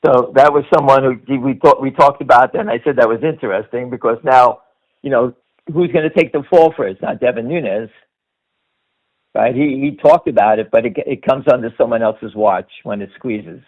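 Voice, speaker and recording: A man's voice: mid-range voice, moderate pace. A middle-aged American man hosting a stock-trading conference call with off-the-cuff commentary, blunt and emphatic, often repeating himself. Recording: dull lo-fi conference-call audio.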